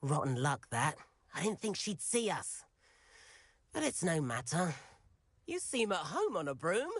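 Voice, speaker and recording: A man's voice: fairly high pitch, very animated, measured pace, a little hiss or hum. A young man with a British accent playing a cheeky, upbeat teenage schoolboy wizard in a video game, chatty and breezy. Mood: breezy, apologetic. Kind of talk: video game character dialogue.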